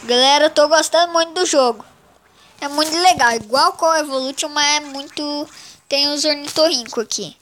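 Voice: high voice, normal speed, fairly bright tone, a little hiss or hum. A child hosting a casual mobile game commentary, sounding congested with a cold. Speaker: gaming channel host.

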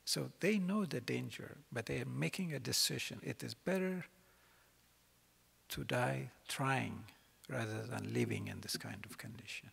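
Gravelly, husky man in a hall. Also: mid-range voice, fairly bright tone. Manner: earnest, halting with restarts. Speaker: keynote speaker. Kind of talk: lecture. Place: large college concert hall. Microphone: podium mic. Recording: long hall reverb.

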